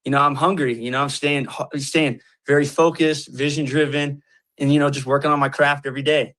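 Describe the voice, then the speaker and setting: A man in his twenties from Colorado, speaking earnestly in casual conversation with halting restarts and many fillers. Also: a little high, flat delivery, a bit bright. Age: 20s. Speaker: guest, community founder.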